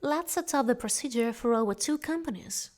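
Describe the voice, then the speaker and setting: A woman with a distinctly high voice narrates a scripted lesson. She speaks as an instructor, laying out directions step by step. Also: animated, moderate pace. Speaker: software course instructor.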